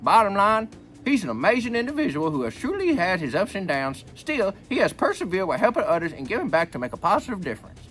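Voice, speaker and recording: A man's voice: sits high, big pitch swings, fast, a bit bright, faint music behind. A man playing a folksy, joking old grandpa who reads a book aloud and rambles off into repetitive wisecracks. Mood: folksy storytelling. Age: older. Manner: rambling, repeats himself, wisecracking. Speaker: book reader. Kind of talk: reading a book aloud with rambling comic asides.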